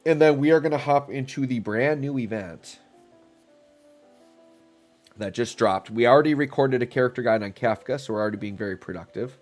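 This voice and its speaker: A man's voice: medium pitch, brisk. A man with a stuffy, congested voice, chatting casually and rambling as he streams a game live. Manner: relaxed, rambling, thinks aloud with fillers. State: stuffy, getting over a cold. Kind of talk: casual live-stream commentary while playing. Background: faint background music.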